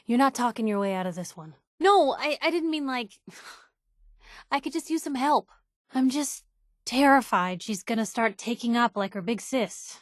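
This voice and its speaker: An actress playing a blunt, caring young woman reporter in a video game, speaking in a casual, wry and emotionally expressive way. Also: a little high, unhurried, no echo, clean studio sound. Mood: vulnerable, sincere. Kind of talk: video game character dialogue.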